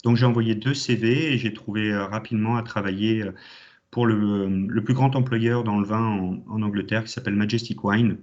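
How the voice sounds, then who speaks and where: A man's voice: on the low side, steady tone, moderate pace, a little mellow. A man in his thirties from the Champagne region of France, giving an enthusiastic alumni talk with many hesitations over a video call. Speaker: alumni guest speaker. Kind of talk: online conference talk. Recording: dull lo-fi online call audio.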